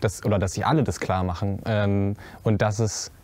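A young man, seated on a stage, talks his way through interview questions in a lowish voice, pausing often with fillers and restarting his sentences. The sound is dry and close, with no room echo. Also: fairly even intonation, a little mellow. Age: young adult. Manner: halting, many fillers and restarts. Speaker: young actor in a musical theatre piece. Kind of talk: interview answers. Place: cinema auditorium stage before a curtain.

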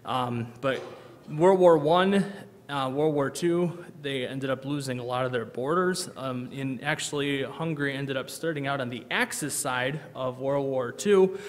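A man's voice: medium pitch, measured pace. A man in his thirties, a missionary giving a church presentation from the pulpit, earnest with many ums and uhs. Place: large church sanctuary, at a pulpit. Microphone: pulpit microphone, far camera. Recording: long reverb of a church hall.

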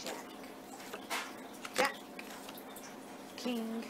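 A young woman doing an improvised ASMR roleplay, muttering in an unhurried, distracted way on a noisy amateur home recording. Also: fairly high pitch, animated. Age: young adult. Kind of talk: ASMR roleplay, improvised reading of fortune cards. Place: small home room, indoors. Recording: noisy amateur webcam-style room recording.

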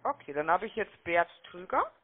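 A woman making a phone sales pitch, chatty and persuasive, often checking for agreement, heard over a telephone line. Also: big pitch swings, normal speed. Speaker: telemarketing caller. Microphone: telephone call.

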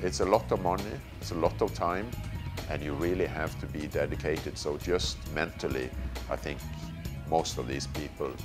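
A man who leads the motorcycle tour, speaking in a documentary interview with measured pauses. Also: extremely low pitch, over music. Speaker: tour leader.

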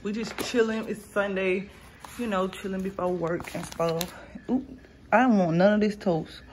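A woman's voice: medium pitch, steady tone, moderate pace. Young woman vlogging on her phone, says y'all a lot, noisy audio. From Florida, US. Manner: chatty, talks straight to viewers as y'all. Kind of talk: casual vlog chatter. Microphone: phone camera held at arm's length.

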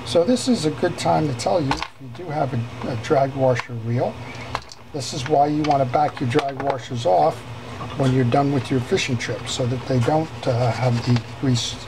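A man's voice: mid-range voice, moderate pace, noisy background. A man giving a hands-on tutorial at a workshop bench as he repairs a fishing reel, talking unhurriedly with many fillers and restarts. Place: workbench in a workshop.

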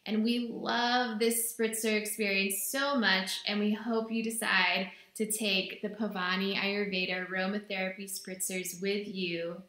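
A woman in her thirties talks to a camera from a small bare room, her tone bright and clear. She speaks slowly and gently, with easy enthusiasm. The room gives her voice a noticeable echo. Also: mid-range voice, fairly even intonation. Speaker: brand representative presenting products. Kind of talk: product presentation to camera. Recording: echoey room.

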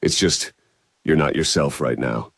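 Very deep, husky fantasy hero voiced by a man. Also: unhurried, a bit bright, dry recording, studio quality, exaggerated voice. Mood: caring. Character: male fantasy hero, steady, caring, protective lover. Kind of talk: intimate video game dialogue acting.

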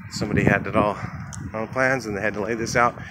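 A man with a fairly low voice gives a rambling, off-the-cuff commentary while walking outside a building, filmed on a handheld camera. Passing traffic and street noise sit clearly behind him. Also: steady tone, fast. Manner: rambling, thinking aloud, trailing off. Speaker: house painter filming a walkthrough. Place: outdoors beside a large building.

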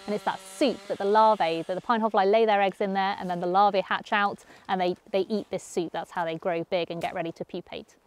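Clear explanatory woman outdoors. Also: medium pitch, fairly even intonation, brisk, hardly any pauses, a little hiss or hum. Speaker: zoo conservation worker. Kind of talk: informative explanation on location. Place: outdoors in a pine forest.

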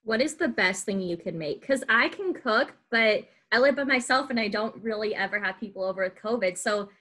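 A woman's voice: mid-range voice, animated, a little fast, fairly bright tone. A young adult woman hosting a podcast, interviewing in a casual, chatty style. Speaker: podcast host and team staff member.

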